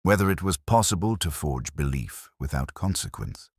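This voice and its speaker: Brooding male detective , acted by a man: extremely low voice, solemn scripted mystery narration, deliberate phrasing, very dry sound. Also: animated, breathy edge, no echo, heavily acted. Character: brooding, measured male detective inspector narrating his case. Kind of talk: first-person scripted mystery narration, audiobook-like.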